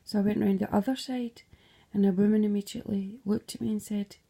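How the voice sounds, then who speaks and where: Scottish woman, gravelly low-key voice, rambling and sweary, drops to whispers. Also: steady tone, brisk, warm tone. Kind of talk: casual dream retelling to camera.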